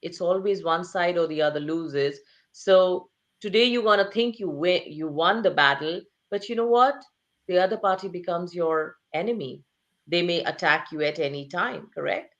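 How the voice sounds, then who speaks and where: A woman's voice: on the low side, normal speed, muffled recording. A woman author speaking earnestly in an interview, stressing points with repetition and rhetorical questions. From Asia. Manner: repeats phrases for emphasis. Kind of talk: conversational interview.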